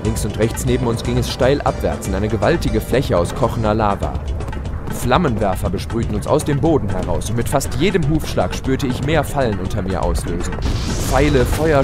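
A man's voice: mid-range voice, natural voice. A man playing an eager adventurous pony hero, expressively narrating his tale in an audio drama. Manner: expressive, storytelling.

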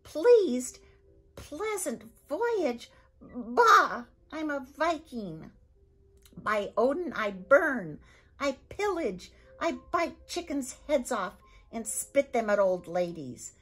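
A woman voicing a loud, blustering male Viking chief who roars his lines. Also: mid-range voice, sing-song, takes it slowly, slightly rough, voice pushed up, heavily acted. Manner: shouting, indignant bluster.

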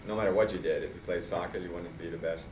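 A man from Finland whose first language is Swedish, speaking with an accent as a guest in a casual podcast interview, with measured, thoughtful explanations. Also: deep voice, steady tone. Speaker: guest cycling coach. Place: training studio, seated in recovery chairs. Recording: roomy, distant mic, noisy.

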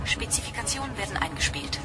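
A woman's voice: mid-range voice, big pitch swings, fast talker, over music, natural voice. A woman playing a strict training sergeant in a sci-fi audio drama, with a clipped, official manner.

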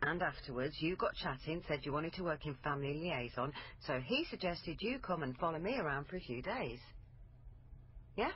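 An actress playing a persistent, matter-of-fact police family liaison officer in a naturalistic radio drama. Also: female voice, low voice, animated, moderate pace. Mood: firm, probing. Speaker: actor in radio crime drama. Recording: dull, noisy lo-fi radio recording.

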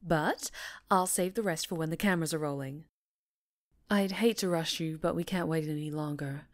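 A woman's voice: deep voice, moderate pace, close to an everyday voice. A woman playing a polished, encouraging female talk show host in a scripted ASMR roleplay, articulate and reassuring with a showy presenter's delivery. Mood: reassuring, upbeat.

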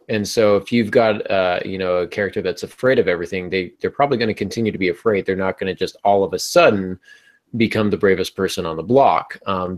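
A man in his thirties with a low voice talks as a podcast host. He explains his points in an instructive way, speaking over a video call from a small home office. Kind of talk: explanatory podcast talk on writing.

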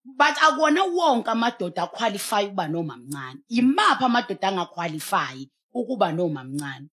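A woman's voice: medium pitch, very animated, a little fast, a bit bright. A South African woman speaking as a pastor on a studio talk show, emphatic and exhorting, mixing in English. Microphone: headset.

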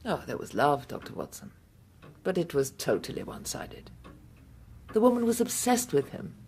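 An actress playing a proud, composed middle-aged widow in a radio drama, husky and measured. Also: female voice, low voice, very animated, a little mellow, a little hiss or hum. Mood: disdainful. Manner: measured, haughty. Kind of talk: British radio drama acting.

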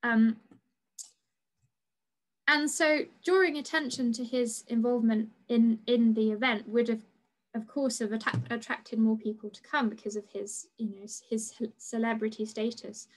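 A woman's voice: fairly high pitch, steady tone, normal speed, poor audio. Hesitant young woman giving an online lecture. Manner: hesitant, many fillers and restarts. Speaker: PhD researcher giving a talk. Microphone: video call webcam.

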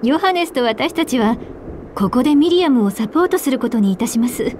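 A woman voicing a composed, polite young church woman in a Japanese video game, formal, refined and gently firm. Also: sits high, lively intonation, brisk, a little hiss or hum.

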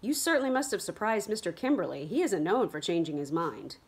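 A woman voicing a gentle, loyal teenage girl who speaks softly with mild worry, read from a novel. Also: mid-range voice, sing-song, brisk, husky.

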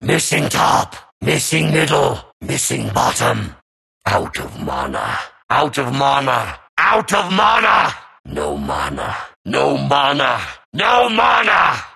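A man voicing an ancient, bitter undead zombie warrior for a video game, raspy and guttural, slow and theatrically menacing. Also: mid-range voice, huge pitch swings, pushed and bright, exaggerated voice. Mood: growing frustration. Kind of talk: video game character voice lines.

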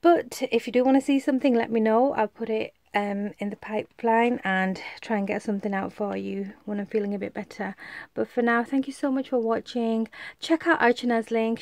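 A woman's voice: on the high side, a little mellow, dry recording. A woman from the UK, a craft vlogger with a stuffy cold, showing her cards in a rambling, chatty way with lots of fillers. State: congested, coming down with a cold. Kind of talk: casual show-and-tell.

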